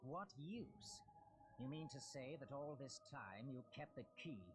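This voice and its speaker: A man playing a scheming inhuman villain in a video game, haughty and theatrically courteous, with grandiose, deliberate, taunting archaic speech. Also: medium pitch, expressive, noisy background, close to an everyday voice. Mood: condescending. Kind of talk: dramatic video game voice acting.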